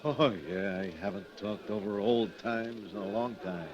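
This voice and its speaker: An actor playing a scheming crook who poses as an old pal in a radio drama, with a halting, repetitive, offhand delivery. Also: male voice, fairly low pitch, lo-fi sound, a crowd in the background, close to an everyday voice. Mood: falsely chummy.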